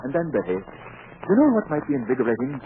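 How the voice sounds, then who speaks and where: An actor playing a prim, bashful professor who talks formally and hesitantly, in a 1940s radio comedy on a noisy old recording. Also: male voice, medium pitch, sing-song, fast, narrow, tinny audio, natural voice. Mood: concerned, polite.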